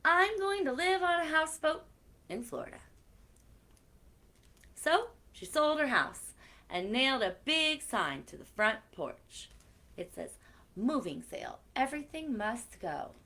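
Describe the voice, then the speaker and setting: A woman's voice: high-pitched, sing-song, unhurried, long pauses, bright, forceful tone. A woman hosting a children's story time, reading aloud expressively and chatting directly to her young listeners. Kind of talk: animated read-aloud for children with chatty asides.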